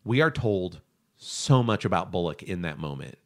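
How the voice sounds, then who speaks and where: A man's voice: deep voice, big pitch swings, normal speed. A man hosting an analytical discussion podcast, speaking in an emphatic, opinionated way. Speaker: podcast host discussing animation.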